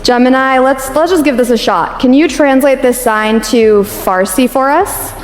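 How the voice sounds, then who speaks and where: A woman's voice: high-pitched, normal speed. A woman presenting a live stage demo in a casual, light manner, laughing easily. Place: stage in a large hall. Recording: echoey hall, faint music.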